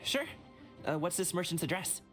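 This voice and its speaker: A man's voice: high voice, expressive, moderate pace, a bit bright, faint music behind. An actor playing a good-natured young male escort in a video game, casual and slightly hesitant. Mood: easygoing. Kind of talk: English video game voice acting.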